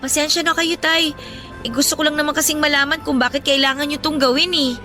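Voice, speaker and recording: A woman's voice: high-pitched, steady tone, quick pace, bright, forceful tone, faint music behind. A woman playing a worried, polite young schoolgirl who keeps questioning her father in a radio drama. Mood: concerned, pleading. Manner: respectful, insistent questioning. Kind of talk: radio drama acting in Tagalog.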